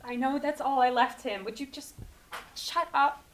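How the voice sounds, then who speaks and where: A drunk young mother, acted by a woman at a table read, defiant on top and despairing underneath. Her voice is high and sharply bright, full of guilt. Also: normal speed, a little hiss or hum. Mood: bitter, weary. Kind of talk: screenplay table read acting.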